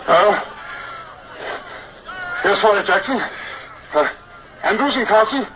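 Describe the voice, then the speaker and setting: High, strained young British naval officer. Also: male voice, animated, takes it slowly, crowd noise, exaggerated voice. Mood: strained, anxious. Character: young male naval officer in command, urgent, anxious. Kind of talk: old radio drama acting. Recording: muffled, noisy old radio recording.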